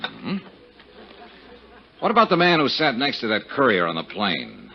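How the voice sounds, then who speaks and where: An actor playing a cynical, hard-boiled American private investigator in 1950s radio drama style, with a sardonic, slangy, wisecracking manner. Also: male voice, lowish voice, huge pitch swings, moderate pace, creaky, full character voice. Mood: wry, pressing. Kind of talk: 1950s radio detective drama acting and narration. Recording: muffled, hissy 1950s radio recording.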